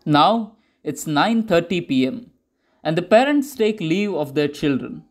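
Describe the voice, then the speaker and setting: High-pitched man narrating a propaganda newsreel. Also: big pitch swings, unhurried. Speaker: documentary narrator.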